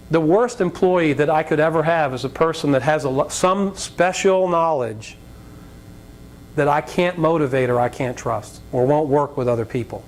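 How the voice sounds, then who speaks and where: Slightly raspy American man giving a talk. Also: low-quality recording. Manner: conversational, joking, digressive. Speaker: guest speaker, business leader. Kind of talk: informal lecture with anecdotes and audience questions. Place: college lecture event before an audience. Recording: rumbly live talk with background noise.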